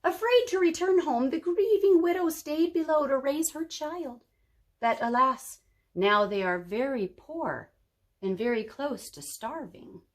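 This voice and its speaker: A woman's voice: high-pitched, expressive, a little slow, voice pushed up. A woman voicing a kindly, grave old woman in a storybook, speaking in a solemn, dramatic way. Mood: sorrowful.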